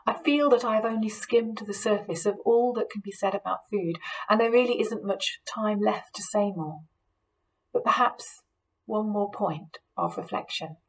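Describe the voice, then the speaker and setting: A British woman speaks in a creaky voice of middle pitch. Her delivery is measured and scripted, like a reflective sermon. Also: rough recording. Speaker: church lecturer, archdeacon.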